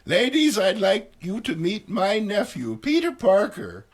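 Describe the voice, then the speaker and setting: A trusting, doting old woman from a comic book reading, acted out by a man. The voice is high and swoops widely, with a fussy, motherly, theatrical delivery. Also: wildly swooping pitch, unhurried, exaggerated voice. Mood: doting, coaxing.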